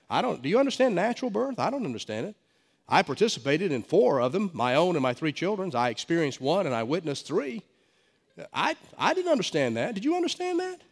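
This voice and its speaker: A middle-aged male pastor with a head cold, preaching a sermon with emphatic stresses and stammered restarts. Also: fairly high pitch. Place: church sanctuary. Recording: long hall reverb.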